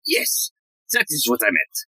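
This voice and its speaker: A bumbling police inspector, very husky, wildly swooping pitch, pompous, forgetful, farcical audio play. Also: wildly swooping pitch, a little slow, pushed and bright, dry recording, clean studio sound, heavily acted. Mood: officious. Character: bumbling, pompous, absent-minded male police inspector in a farce.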